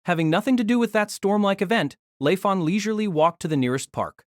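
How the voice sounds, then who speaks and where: Storybook narrator, lively pitch, close and dry. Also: fast, no room sound. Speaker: narrator of a fan audio drama.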